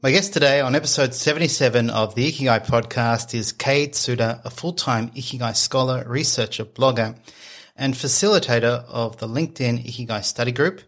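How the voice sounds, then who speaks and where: Medium-pitched man hosting an interview. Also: a little fast, fairly bright tone, soft background music. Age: early 50s. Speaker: podcast host. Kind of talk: interview conversation.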